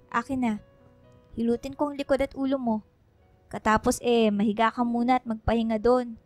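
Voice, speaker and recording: Lady acting fussy loving filipino mom n wife, high voice, radio drama, music under. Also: fairly even intonation, fairly quick, no room sound, lightly acted. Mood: worried, fussing. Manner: attentive, fussing, affectionate. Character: caring, fussing wife and mother. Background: background music.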